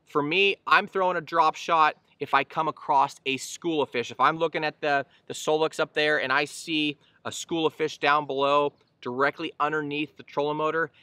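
Casting note: thirties male angler-host, bright crisp delivery, casual explainer, recorded outdoors on a boat. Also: fairly high pitch, fairly even intonation, moderate pace, hardly any pauses, dry recording. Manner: easygoing, explanatory, frequent fillers and restarts. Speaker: fishing channel host. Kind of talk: casual instructional talk to camera.

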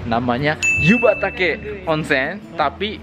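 A young man with a fairly high voice chats casually to a handheld camera outdoors. He is enthusiastic and exclaims often, like a travel vlogger. Music plays clearly behind him. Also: lively intonation, slow. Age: young adult. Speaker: vlogger, host. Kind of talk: casual travel vlog chat. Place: outdoors at night in a hot spring town. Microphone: handheld camera at arm's length.

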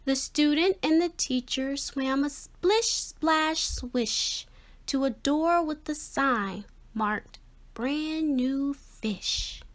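A woman reading a children's picture book aloud, expressively and with a measured, rhyming rhythm. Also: sits high. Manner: measured, rhythmic delivery of rhyme. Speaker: children's story reader.

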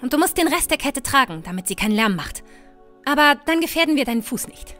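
A woman playing a determined, brisk young noblewoman in a fantasy audio drama. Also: sits high, lively intonation, fairly bright tone, faint music behind. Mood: brisk, tense. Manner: bossy, brisk.

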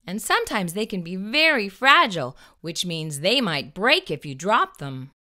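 A woman's voice: medium pitch, very animated, normal speed, bright, forceful tone. A middle-aged woman hosting a children's storytime, reading aloud expressively with exaggerated emphasis and addressing the young listeners directly. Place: small furnished room indoors. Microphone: lapel microphone.

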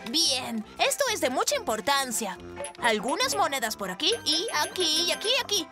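Casting: boastful cartoon bear cub, very high childlike pitch, wildly swooping delivery, dramatic, music behind. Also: big pitch swings, moderate pace. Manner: exclamatory, dramatic.

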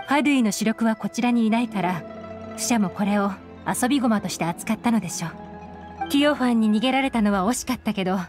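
A woman's voice: mid-range voice, darkish tone, close to an everyday voice. A woman voicing a shrewd, secretive young intelligence agent in an anime game, composed, knowing and slightly teasing.

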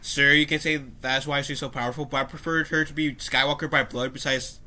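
A young man with a stuffy nose, talking casually to his webcam at home, reading an article aloud and adding his own opinions with lively gestures and frequent coughs. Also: medium pitch, a little fast. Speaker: YouTuber. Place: small room at home, indoors.

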